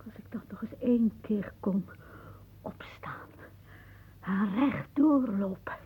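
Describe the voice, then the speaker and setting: An actress playing a frail, anxious, possessive old aunt in a radio play, with a plaintive, wistful delivery. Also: female voice, medium pitch, takes it slowly, husky. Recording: muffled, narrow-band old radio recording.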